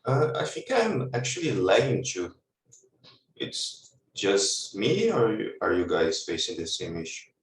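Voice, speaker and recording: A man with a somewhat low voice hosts an online session and introduces the speaker. His video-call audio sounds distant and roomy. He talks in a relaxed way with small hesitations. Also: a little slow. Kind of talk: casual hosting on a video call. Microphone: computer mic on a video call.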